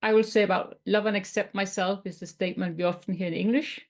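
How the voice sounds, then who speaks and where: A woman from Denmark with a Danish accent, teaching tapping in a webinar in a gentle, explanatory way with some non-native phrasing. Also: mid-range voice, fairly even intonation, fast. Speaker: tapping coach.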